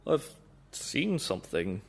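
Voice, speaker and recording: A man's voice: lowish voice, sing-song, takes it slowly, gravelly, slightly rough, exaggerated voice. A man voicing a nervous, kindly old male tram engine who speaks in a shaky, stammering way in a storybook reading. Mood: frightened.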